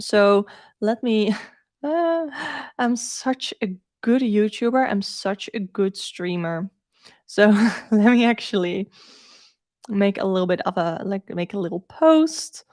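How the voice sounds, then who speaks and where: A woman with a Dutch accent talks casually to a live stream as a tarot reader. Her pitch sits in the middle for a woman, and she thinks aloud, circling back over her words. The sound is dry, with very little room in it. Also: no room sound. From Netherlands. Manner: informal, thinks aloud, repeats herself.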